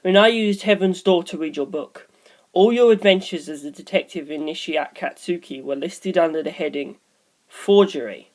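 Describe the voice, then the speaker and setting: A woman's voice: fairly low pitch, normal speed, darkish tone, lightly acted. Woman reading as Rohan, a candid, probing man. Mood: grave, puzzled. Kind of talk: audiobook character dialogue.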